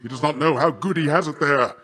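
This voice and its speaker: A man's voice: a little high, brisk, fairly bright tone, faint music behind, natural voice. A man voicing a boisterous, boastful sea god in a video game, a jovial, doting uncle who speaks in hearty, grandiloquent, old-fashioned phrasing. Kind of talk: theatrical video game character voice acting.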